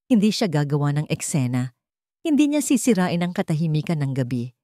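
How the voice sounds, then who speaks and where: A woman with a Filipino accent narrating a scripted dramatic story in measured, short phrases. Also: deep voice, sing-song, dark tone, no echo, clean studio sound.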